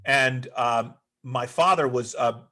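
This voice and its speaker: A man's voice: medium pitch, slow, pushed and bright. A middle-aged man, born in the United States but with Greek as his first language, speaking as a guest on a video call, thoughtful and full of restarts. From United States, raised in Greece until six. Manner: thoughtful, many fillers and restarts. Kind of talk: remote interview conversation.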